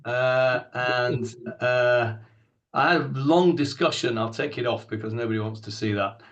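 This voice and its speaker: A man's voice: medium pitch, a little slow, fairly bright tone, highs cut off. A man in an online class, hesitant and apologetic, with many ums. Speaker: participant. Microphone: video call.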